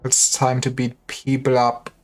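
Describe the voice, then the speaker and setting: Low-key wry male game streamer. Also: completely flat delivery, a little slow, a little mellow, faint music behind. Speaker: game livestreamer. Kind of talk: casual gameplay commentary.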